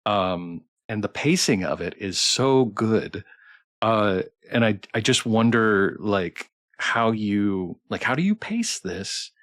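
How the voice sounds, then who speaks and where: A man hosting a casual interview as a streamer, talking in a halting, self-interrupting and enthusiastic way. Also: on the low side, expressive, a bit bright, no echo, studio quality.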